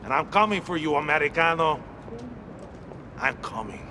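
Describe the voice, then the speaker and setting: An actor playing a proud, vengeful Cuban mafioso in a video game, taunting in Spanish-accented English. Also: male voice, on the high side, lively intonation, moderate pace, sharp tone, a little hiss or hum. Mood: taunting menace. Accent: Spanish-speaking Cuban. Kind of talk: video game cutscene acting.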